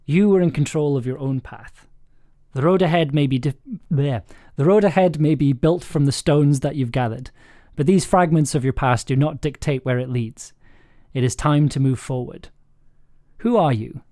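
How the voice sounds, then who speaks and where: A man reading scripted audio drama narration aloud in a measured, earnest way. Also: a little high, warm tone, no room sound, studio quality.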